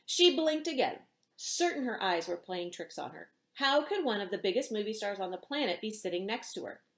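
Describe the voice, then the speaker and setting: A middle-aged woman, an author reading aloud in an expressive storytelling style in a small indoor room. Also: mid-range voice, moderate pace. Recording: roomy home echo.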